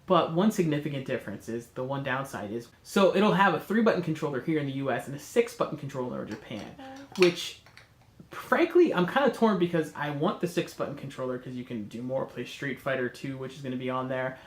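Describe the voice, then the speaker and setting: A man's voice: medium pitch, animated, a little fast, reverberant. A man with a cold and a congested, nasal voice, hosting a casual chat and rambling with enthusiasm while he corrects himself. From North America. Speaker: host.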